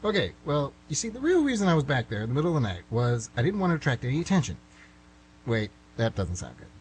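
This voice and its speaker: A man's voice: medium pitch, a little hiss or hum, lightly acted, low-quality recording. A man acting a flustered, middle-aged guy who nervously rambles and corrects himself in an amateur radio drama. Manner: nervous, halting, self-correcting, excitable. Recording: slightly rough amateur radio recording.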